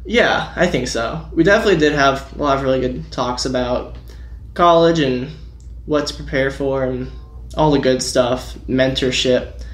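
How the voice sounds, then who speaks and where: A man's voice: mid-range voice, normal speed. A young adult man co-hosting a casual podcast, bantering with dry jokes. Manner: joking, deadpan bits. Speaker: podcast co-host. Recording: echoey room.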